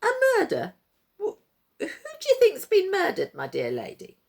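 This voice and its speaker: Casting: woman playing scornful male puppet master, storybook style, stammering disbelief, voice leaping high and low. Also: huge pitch swings, normal speed, creaky, darkish tone, exaggerated voice. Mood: mocking amusement. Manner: mocking, stammering, incredulous.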